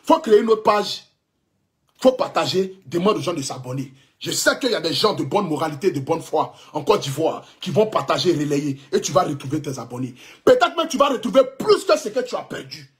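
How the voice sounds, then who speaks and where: A man's voice: on the high side, very animated, a little fast. A man in his thirties with an Ivorian French accent, giving a fervent, repetitive, defiant livestream address as a social media blogger. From Ivory Coast. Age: 30s. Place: indoors, clothing rack behind.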